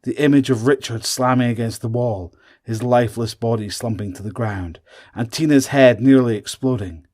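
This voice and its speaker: A man's voice: mid-range voice, normal speed, darkish tone. A man reading scripted narration for a horror audio drama.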